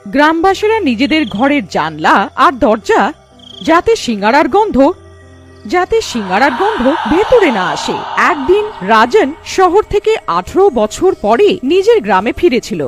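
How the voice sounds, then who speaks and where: A woman's voice: sits high, very animated, normal speed, soft background music. A woman narrating a cartoon story in a scripted storytelling style.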